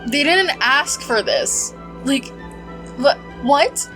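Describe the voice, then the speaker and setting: A woman's voice: high-pitched, expressive, slow pace, pushed and bright, rough recording. A woman giving casual, conversational playthrough commentary, skeptical and thinking aloud as a gaming streamer. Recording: faint game music behind.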